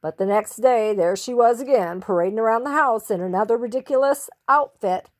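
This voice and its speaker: A woman reading a children's story expressively, with theatrical, drawn-out emphasis on key words. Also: on the high side, a little mellow.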